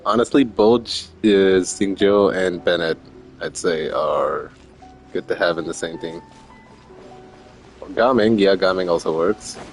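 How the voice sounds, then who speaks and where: A man's voice: low voice, measured pace. A man streaming a game with casual, rambling, slangy commentary. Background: faint game music.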